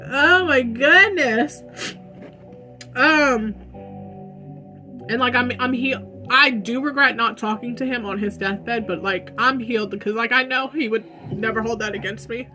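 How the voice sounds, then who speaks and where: Young woman vlogger, loud bright voice, easygoing chatty delivery, talking at home over faint music. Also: a little high, a little slow. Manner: chatty, says "like" often. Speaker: vlogger answering viewer questions. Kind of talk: casual Q&A vlog. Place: room at home with dogs.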